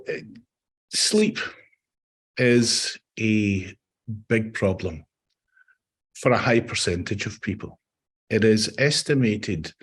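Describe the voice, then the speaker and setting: Male meditation teacher, hoarse and husky, lowish voice, measured delivery over a video call. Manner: calm, measured explaining. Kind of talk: webinar presentation.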